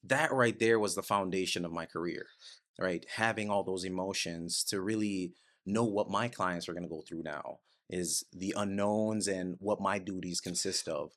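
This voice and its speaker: Upbeat lower-voiced man guest. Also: normal speed. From Guyana. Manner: upbeat and energetic, laughs often, loose rambling phrasing. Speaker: realtor guest. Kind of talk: casual podcast interview.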